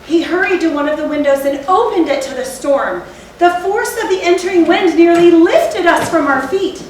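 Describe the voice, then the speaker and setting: A woman playing a haunted, fearful narrator in theatrical Gothic storytelling, with a deliberate, literary delivery. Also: high voice. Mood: awed, urgent. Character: haunted, fearful narrator recalling a night of horror. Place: theatre stage, recorded from a distance. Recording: echoey hall, distant mic, faint noise.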